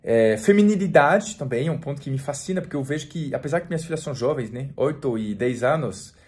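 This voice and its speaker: A man in his forties from France, speaking Portuguese with a French accent in a relaxed, chatty vlog to camera, full of restarts and fillers. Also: mid-range voice, fast talker. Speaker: French teacher and vlogger. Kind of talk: casual talk to camera.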